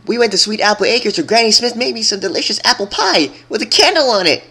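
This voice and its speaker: A performer voicing a bubbly, hyperactive young female cartoon pony who pouts and wheedles with drawn-out squeals. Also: medium pitch, animated, sharp tone, breathy edge, pitched above the performer's own voice, full character voice. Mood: giddy, excited. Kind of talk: cartoon character voice in fan fiction reading.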